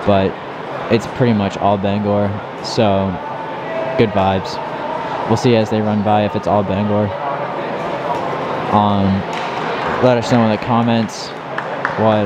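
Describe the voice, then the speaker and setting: A teenage man narrating a track race for a vlog in a low, mock-soothing voice, filmed on a handheld camera in a big indoor arena. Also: level tone, unhurried, pauses often. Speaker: teammate filming a vlog. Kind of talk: casual play-by-play narration. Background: crowd and meet noise.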